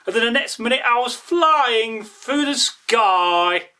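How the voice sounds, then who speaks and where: Male storyteller acting slow-witted Viking merchant, folktale character, bewildered, high-pitched, forceful, exclaiming. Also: animated, exaggerated voice. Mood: bewildered, alarmed. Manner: puzzled, exclaiming, questioning.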